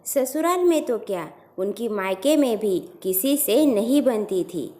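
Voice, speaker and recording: Mellow, unhurried woman storyteller. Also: on the high side, lively intonation. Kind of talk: scripted first-person story narration.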